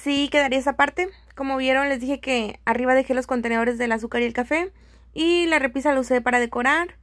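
A woman's voice: sits high, steady tone, fast talker, no room sound, studio quality. A woman with a Mexican accent giving a casual, chatty, friendly voice-over as a home decor vlogger.

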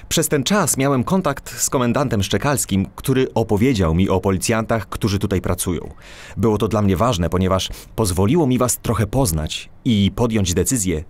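A man's voice: lowish voice, darkish tone. A man playing a polite, self-assured cat who is a police gadget expert, in a children's audio drama. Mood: businesslike.

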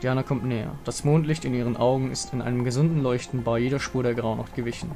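A man's voice: mid-range voice, steady tone, fast talker, mellow. Male story reader for a fantasy game, dramatic scripted narration with music behind.

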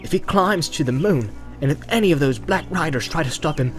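A man's voice: a little high, animated, brisk, faint music behind, voice pushed up, lightly acted. A man voicing a humble, devoted hobbit servant with a plain, deferential and determined way of talking, in a storybook reading. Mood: defiant loyalty.

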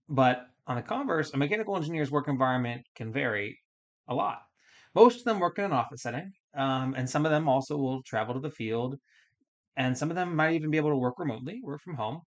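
A man in his thirties hosting a solo podcast, explaining things in a casual, step-by-step way with fillers and restarts, recorded at a studio mic in a small foam-panelled room. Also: medium pitch. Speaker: podcast host.

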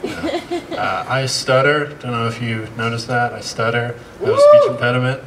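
An American man in his thirties does stand-up on a handheld mic on an outdoor patio at night. His delivery is halting and deadpan, full of "uh" and "um," while his pitch swings widely. The sound comes from a distant camera, with loud audience laughter around him. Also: noisy background. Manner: halting delivery with frequent fillers; deadpan self-deprecating jokes. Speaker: stand-up comedian. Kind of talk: stand-up set.

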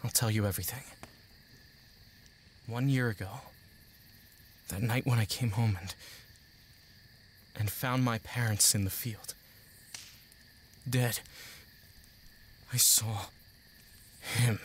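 An actor playing an earnest, haunted young would-be mercenary in an audio drama, with hesitant, emotional delivery. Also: male voice, on the low side, steady tone, slow pace, pauses often, a little hiss or hum. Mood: grave, anguished.

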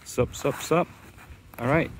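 A man's voice: medium pitch, slow. A man vlogging on his phone while riding a jet ski across a lake, talking in an upbeat, playful way. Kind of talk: casual vlog narration. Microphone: handheld phone. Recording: loud wind and water noise.

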